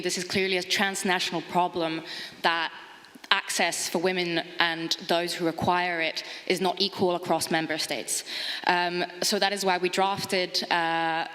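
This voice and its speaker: A woman from Finland, giving a measured conference presentation with frequent hesitant fillers. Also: on the low side, fairly bright tone. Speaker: conference speaker, project officer. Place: conference hall. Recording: long reverb of a large hall.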